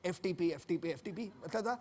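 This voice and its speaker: Chatty man telling a story over loud noise. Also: high voice, fast. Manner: chatty and amused, laughing while telling a childhood story. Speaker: guest on a TV entertainment show. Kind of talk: casual storytelling.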